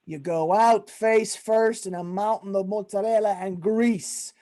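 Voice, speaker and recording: A woman's voice: medium pitch, fairly even intonation, unhurried, dark tone, close to an everyday voice. A woman playing an exasperated male mob boss who runs a pizza parlor in a comic radio play, talking in melodramatic, colloquial tough-guy style. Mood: grimly dramatic.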